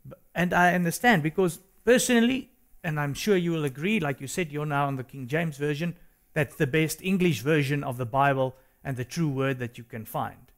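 A South African man whose first language is Afrikaans, co-hosting a religious discussion in an earnest, halting way. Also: fairly high pitch. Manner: earnest, hesitant with restarts. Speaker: co-host. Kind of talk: studio discussion.

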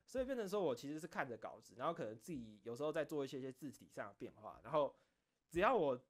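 A man chatting casually on his own as a streamer, rambling through stories in an animated, self-deprecating way. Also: medium pitch, fast talker. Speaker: streamer and video creator. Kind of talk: casual solo chat, recounting behind-the-scenes stories.

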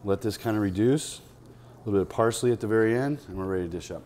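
Chatty male chef, low voice. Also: fast talker. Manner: relaxed, chatty, many "uh" fillers. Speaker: chef hosting a cooking segment. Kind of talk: casual cooking demonstration. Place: empty restaurant dining room.

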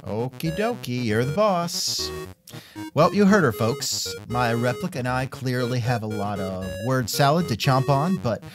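A man's voice: mid-range voice, expressive, measured pace, music in the background. A man hosting an interview in a casual, musing way, with wry asides and thoughtful hmms. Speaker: podcast host and interviewer. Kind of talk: casual scripted interview chat.

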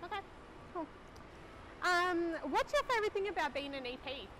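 A woman's voice: very high-pitched, sing-song, moderate pace, sharp tone, a little hiss or hum, low-quality recording. A young woman interviewing a colleague in a casual, friendly way with short encouraging replies, recorded in a large gym studio. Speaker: interviewer from the clinic staff.

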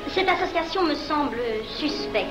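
An actress playing a proud, wary wife of a deputy in a 1940s film. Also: female voice, high-pitched, expressive, normal speed, lo-fi sound, over loud music. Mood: suspicious. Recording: echoey old film, loud crowd.

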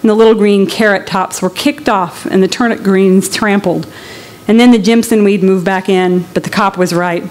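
A woman reads literary prose aloud in a medium-pitched voice. Her phrasing is measured and deliberate, shaped with expressive drama as for a listening public. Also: low-quality recording. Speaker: literary reader at a public event.

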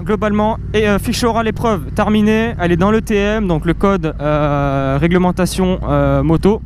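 A man's voice: high voice, steady tone, normal speed. A young man, talking casually while riding a motorcycle, slangy and rambling, with fillers and swearing, recorded on a helmet mic. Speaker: motovlogger. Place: outdoors on a moving motorcycle, open roads. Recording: loud wind and engine noise. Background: motorcycle engine and traffic.

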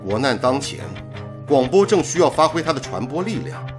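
A patriotic young radio engineer in a radio play. His higher voice rises and falls with feeling, and he speaks steadily and persuasively. Faint music sits beneath him. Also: normal speed. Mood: earnest. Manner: earnest, steady, gently persuasive. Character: earnest dedicated male radio engineer, young adult, patriotic and calm. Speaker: radio engineer in a period radio drama. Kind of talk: 1930s-set radio drama acting.

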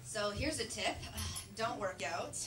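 A young woman from Canada performing a stand-up comedy set, swinging between low asides and shrieking punchlines. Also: lively intonation, slow, over loud music. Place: comedy club stage, large dark room. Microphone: handheld mic on a stand cord, filmed from far. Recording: roomy, distant club recording with crowd laughter. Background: audience laughter.

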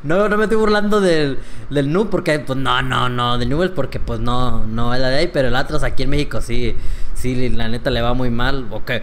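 A man's voice: medium pitch, moderate pace, fairly bright tone, slight background noise. A man with a Mexican Spanish accent giving chatty, slangy reaction commentary from a home gaming room on a desk mic. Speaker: reaction youtuber. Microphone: desk mic on boom arm.

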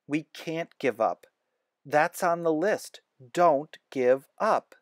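Storybook role: thoughtful, determined young boy, read by a man, unhurried. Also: a little high, lively intonation, dry recording, clean studio sound, voice pushed up. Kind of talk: children's storybook read-aloud.

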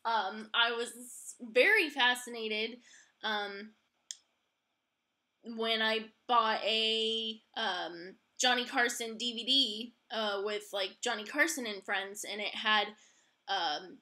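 A young woman with a bright, slightly high voice hosts a casual chat. She takes her time, speaking informally and a little haltingly, piecing her thoughts together as she goes. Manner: informal, halting, uses "like" and "kinda". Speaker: podcast host.

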